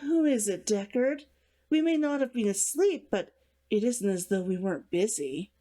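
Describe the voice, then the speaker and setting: Woman reading as possessive girlfriend, raised lively pitch. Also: moderate pace, natural voice.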